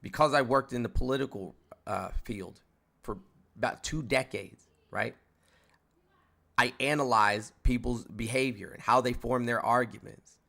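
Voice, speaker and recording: A man in his forties hosts a heated debate with a steady mid-range voice. He lectures in a condescending way, stumbling and restarting as he builds his point. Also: medium pitch, unhurried, vocal fry. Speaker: host.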